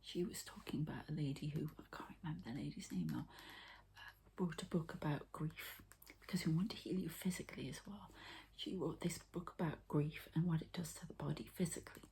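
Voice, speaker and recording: A woman speaks in a hushed, mostly whispered voice with a low, crackly edge. She murmurs like an ASMR healer guiding a listener through a session, pausing often and explaining each small step softly. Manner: soft, halting, murmured commentary on each step. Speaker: ASMR energy healer and channeler.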